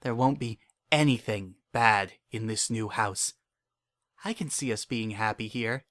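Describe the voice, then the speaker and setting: A man's voice: on the low side, unhurried, fairly bright tone. A man playing an unhinged, paranoid male narrator in a horror fan fiction, speaking earnestly in childlike phrases. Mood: hopeful, wistful. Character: unhinged, obsessive, paranoid male narrator clinging to his pet. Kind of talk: first-person dramatic horror fan fiction reading.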